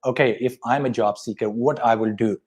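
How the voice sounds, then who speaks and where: A man's voice: medium pitch, fairly even intonation, darkish tone. A man, hosting a live career talk show from an office and mixing with English. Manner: rapid, switches between Urdu and English. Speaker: host. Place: office with big windows, indoors.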